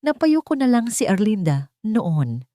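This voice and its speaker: A woman narrating a scripted romantic drama in an earnest, sentimental way with dramatic pauses. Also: mid-range voice, very animated, warm tone, no echo, studio quality. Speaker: narrator of romantic audio stories.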